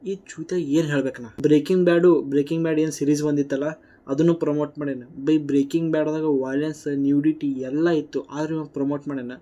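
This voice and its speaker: A man's voice: fairly high pitch, mellow. A man with a North Karnataka accent giving blunt, combative, colloquial reaction commentary. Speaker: YouTube commentator.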